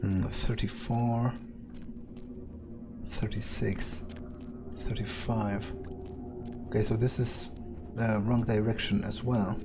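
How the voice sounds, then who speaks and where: A man roleplaying a weary, wary, sardonic vampire in a video game, thinking aloud in a halting, sweary mutter. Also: on the low side, level tone, music in the background. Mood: focused, musing. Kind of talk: in-character improvised gameplay monologue.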